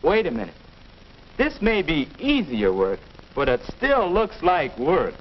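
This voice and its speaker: Earnest teenage boy, lively, high-pitched. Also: a little slow. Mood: doubtful. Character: Ken, earnest, questioning teenage son with free time. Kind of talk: 1950 instructional film acting. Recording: muffled, noisy 1950 film soundtrack.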